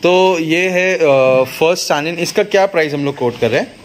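Male vlog host, fairly high pitch, casual questioning, confirms by repeating, noisy shed ambience. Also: normal speed. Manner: often repeats answers back to confirm. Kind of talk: casual on-camera interview about livestock. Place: open-sided livestock shed.

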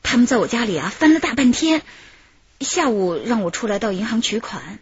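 A woman's voice: fairly high pitch, sing-song, fairly quick, slightly rough, no echo, full character voice. An actress playing a female kidnap victim giving her account in a radio drama. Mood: recounting ordeal. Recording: dull lo-fi radio drama recording.

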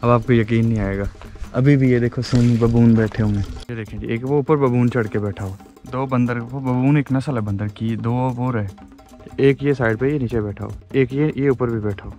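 A man's voice: mid-range voice, steady tone, normal speed, warm tone, soft background music. A man in his twenties with a Pakistani accent, chatting informally to camera as a travel vlogger outdoors. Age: 20s. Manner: informal, chatty, addresses viewers as brother. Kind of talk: casual vlog narration to camera. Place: outdoors on streets and in gardens. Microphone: handheld action camera at arm's length.

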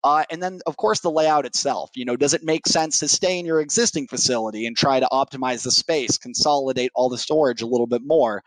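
A man's voice: mid-range voice, a little fast, hardly any pauses, no room sound, poor audio. A man in his thirties giving business answers as an interview guest, speaking into a headset on a video call. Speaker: technical sales executive, podcast guest. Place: home living room, indoors, on a video call. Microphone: headset mic.

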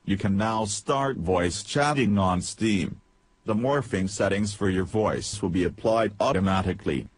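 A man with a fairly low, husky voice narrates a software tutorial. He reads scripted step-by-step instructions very slowly, in a stilted manner.

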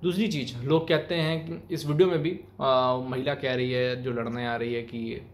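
A man's voice: medium pitch, steady tone, moderate pace. A young man with an Indian accent giving emphatic, colloquial opinion commentary to camera in a small room. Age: young adult. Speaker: video commentator, channel host. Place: small plain room indoors. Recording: clearly noisy room recording.

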